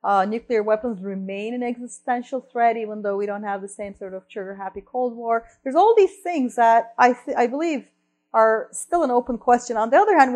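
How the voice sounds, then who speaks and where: A fairly high-voiced woman from Turkey speaks in the lively way of a lecture. She checks in with "right?" and often restarts her sentences, thinking aloud as she goes. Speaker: researcher and columnist giving a talk. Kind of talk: lecture-style talk.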